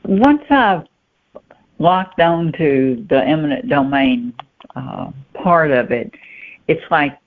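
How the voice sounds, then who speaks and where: A woman giving plain-spoken, halting answers as a guest novelist in a conversational interview. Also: sits low, lively intonation. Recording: muffled, thin phone-line sound.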